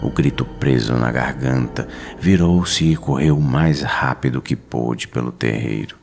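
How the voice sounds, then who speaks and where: An extremely low, gravelly male voice with a Brazilian accent narrates a horror tale. He is solemn and slow, weighting short phrases for suspense over clear background music. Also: a little mellow. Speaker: storyteller. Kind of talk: dramatic scripted horror narration.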